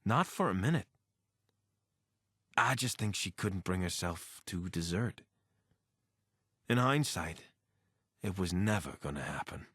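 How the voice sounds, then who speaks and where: Game soldier, deserter pilot, lowish pitch, animated delivery, conversational, earnest, dry close recording. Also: male voice, a little slow, long pauses, breathy edge, no room sound, exaggerated voice. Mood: persuasive. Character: anxious, wry male deserter soldier and pilot. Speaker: voice actor for a companion character. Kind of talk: video game character dialogue.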